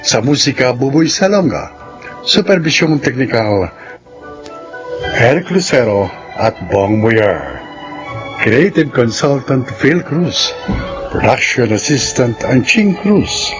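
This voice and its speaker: Slow, expressive male radio drama announcer. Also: medium pitch, dull audio. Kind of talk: scripted closing announcement and credits. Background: music.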